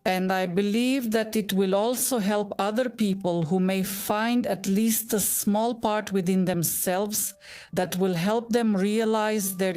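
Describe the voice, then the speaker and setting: A woman in her fifties, originally from Bulgaria, shares her life story as an interview guest. She talks in an earnest, heartfelt, reflective way at an ordinary middle pitch. Soft music sits faintly underneath. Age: 50s.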